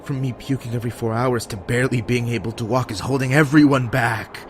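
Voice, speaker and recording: A man playing a guilt-ridden, self-loathing young survivor in an emotional audio drama, with a halting, bitter delivery. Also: medium pitch, steady tone, slight background noise, natural voice. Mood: anguished, bitter. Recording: prominent music behind the voice.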